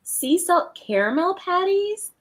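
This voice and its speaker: Chatty woman with lively, swooping pitch. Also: takes it slowly. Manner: chatty, informal. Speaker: reading livestream co-host. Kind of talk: casual banter on a livestream.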